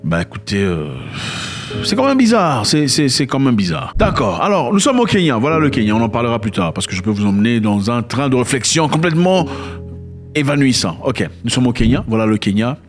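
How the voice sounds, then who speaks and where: Emphatic man with sudden pitch jumps. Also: sing-song, brisk, soft background music. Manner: emphatic, repeats words, sudden swings between loud and quiet; sarcastic asides. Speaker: commentator. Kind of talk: casual spoken commentary.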